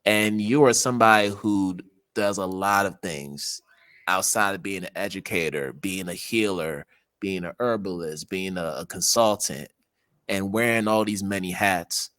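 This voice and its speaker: A man's voice: sits low, measured pace, fairly bright tone. A man hosting a podcast, asking long, earnest questions and affirming his guest. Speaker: podcast host. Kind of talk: interview questions.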